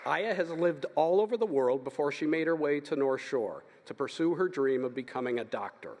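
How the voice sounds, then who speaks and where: A man giving formal, steady announcements as presenter at a graduation ceremony. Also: on the high side, normal speed, a faint crowd, a little hiss or hum. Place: large hall. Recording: long reverb of a large hall.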